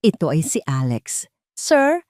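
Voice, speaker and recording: A woman's voice: low voice, animated, normal speed, a little mellow, no echo, studio quality. A woman narrating a scripted, melodramatic love story. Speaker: audio drama narrator.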